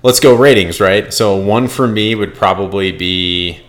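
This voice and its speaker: A low-voiced American man from Boston co-hosting a film podcast. He talks casually and bluntly, with strong opinions and swearing. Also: a little slow, fairly bright tone. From Boston, United States. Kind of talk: casual chat.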